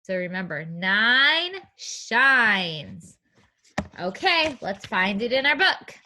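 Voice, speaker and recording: A woman's voice: medium pitch, big pitch swings, slow, pushed and bright. A woman teaching young children in an interactive read-aloud, speaking in simple, encouraging phrases with guiding questions and a sing-song delivery. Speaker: preschool teacher reading a story aloud.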